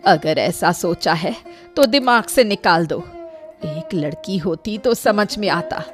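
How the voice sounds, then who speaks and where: A woman's voice: lowish voice, expressive, takes it slowly. A woman voicing a grumbling, weary elderly village mother in a Hindi cartoon moral story. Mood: bitter, complaining. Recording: music bed under the voice.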